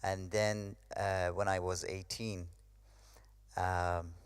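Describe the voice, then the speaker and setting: A man's voice: deep voice, level tone, slow pace, clear, resonant tone, dry recording. A young man from Iran giving a hesitant personal testimony, with many fillers. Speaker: speaker sharing his story.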